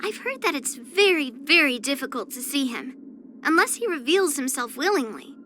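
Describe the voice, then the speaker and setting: Game casting: young swordswoman, high bright girlish voice, blunt, proud, earnest with a touch of pout. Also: lively intonation, normal speed, slight background noise. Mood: matter-of-fact, confident. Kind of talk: character voice lines for an anime-style video game.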